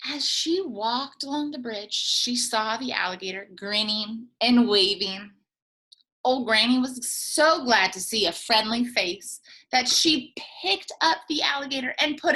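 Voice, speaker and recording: A woman teacher reading a picture book aloud to young children, expressive and full of playful asides. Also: on the high side, rough recording. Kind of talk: children's storytime read-aloud.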